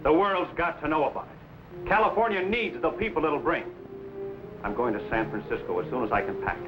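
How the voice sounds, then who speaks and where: An actor playing a brash, opportunistic gold-rush newspaperman in an old adventure serial, forceful and declamatory. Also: a little high, fairly quick, over music, lightly acted. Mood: defiant, threatening. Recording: dull, faintly noisy vintage recording.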